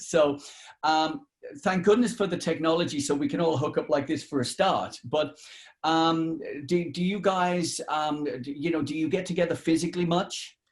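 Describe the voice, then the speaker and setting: A man hosting a casual radio interview, asking chatty, rambling questions. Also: on the high side.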